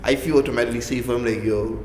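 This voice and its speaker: A young man talks earnestly on a discussion panel, his words speeding up when a story gets going. His voice sits at an ordinary pitch. The room rings with a long, hollow reverb. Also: steady tone, rapid-fire, a little mellow, slight background noise. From Africa. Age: young adult.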